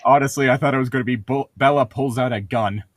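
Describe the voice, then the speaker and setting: A man's voice: medium pitch, fast talker, no echo. A man co-hosting a read-aloud podcast, mixing book narration with casual, irreverent joking. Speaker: podcast co-host reading a novel aloud with commentary.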